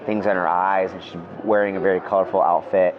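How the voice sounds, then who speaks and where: A man's voice: low voice, a little fast. A man, a guest evangelist, talking casually and enthusiastically in an interview, often saying "man" and "dude". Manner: relaxed, enthusiastic storyteller, says "man" and "dude". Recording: lo-fi with music behind.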